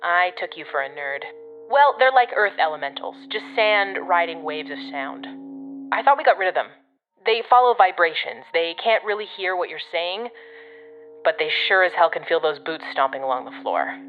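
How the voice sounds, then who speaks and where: A woman's voice: on the low side, animated, normal speed, highs cut off, soft background music, close to an everyday voice. An actress playing a brusque, sardonic woman handler in an audio drama, giving blunt, commanding guidance from a distance. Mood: wry, instructive.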